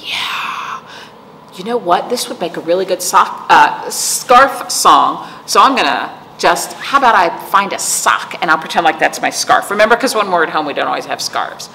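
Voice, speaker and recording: A woman's voice: fairly low pitch, animated, moderate pace, pushed and bright. A middle-aged woman hosting storytime, chatting and explaining things to young children with frequent questions. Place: indoor library room with decorated wall. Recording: echoey room with clear background noise.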